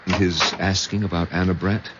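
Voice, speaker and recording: An actor playing a concerned, middle-aged husband in a 1970s American radio drama, hesitant and questioning. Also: male voice, low voice, little pitch movement, moderate pace, lightly acted. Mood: puzzled, uneasy. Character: concerned, questioning husband, fond but uneasy. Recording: noisy, muffled old radio broadcast.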